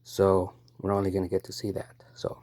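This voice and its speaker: A man's voice: deep voice, completely flat delivery, brisk, mellow, husky, dry recording. A man talking casually to viewers as a video host, close to the mic.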